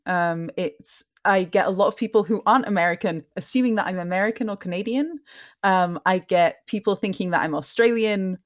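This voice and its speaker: Woman, from Scotland, mixed English accent, soft lowered voice, casual interview chat. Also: brisk. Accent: mixed, mostly English with some Scottish. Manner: soft lowered tone, laughs often, many ums. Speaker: podcast host, guest.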